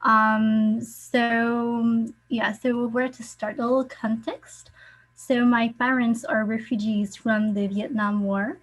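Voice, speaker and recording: A woman whose first language is French, speaking hesitant English on a video call and often pausing to search for words. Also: a little high, low-quality recording. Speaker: guest speaker. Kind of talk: online meeting chat.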